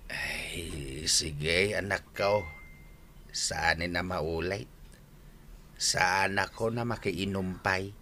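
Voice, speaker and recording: An actor playing a blustering, boastful old drunkard father in an Ilocano comedy radio drama, full of drawn-out exclamations. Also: deep voice, bright, forceful tone, a little hiss or hum, heavily acted. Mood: sheepish. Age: older. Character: boastful old drunkard father, proud of his policeman son.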